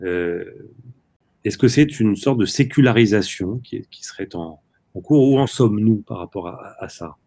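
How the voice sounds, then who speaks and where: A man's voice: lowish voice, expressive, normal speed, warm tone, dry recording. A man interviewing a writer, asking long questions with many hesitations. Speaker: interviewer, journalist.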